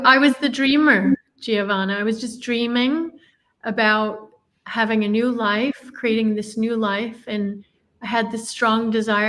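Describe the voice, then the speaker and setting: Earnest woman, higher voice. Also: a bit bright. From Northern Ireland. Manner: earnest, reflective storytelling with many restarts. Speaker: interview guest. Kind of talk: personal life story in a podcast interview.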